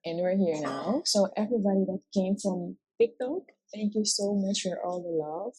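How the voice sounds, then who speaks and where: A woman's voice: mid-range voice, moderate pace, dark tone, husky, no echo, rough recording. Chatty Dutch-accented woman in her twenties. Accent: Surinamese Dutch. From Suriname. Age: 20s. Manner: chatty, open, often says "like". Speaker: vlogger co-host. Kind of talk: casual chat.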